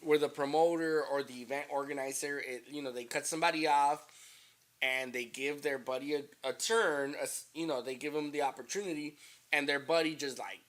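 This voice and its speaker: A man hosting a casual interview, relaxed and encouraging, with lots of fillers. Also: fairly high pitch, a little fast. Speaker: podcast host.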